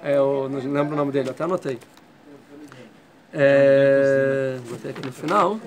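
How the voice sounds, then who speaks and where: A man's voice: mid-range voice, fairly even intonation, unhurried. A man teaching a Torah class. He explains step by step and keeps checking in with his students. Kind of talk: classroom lecture.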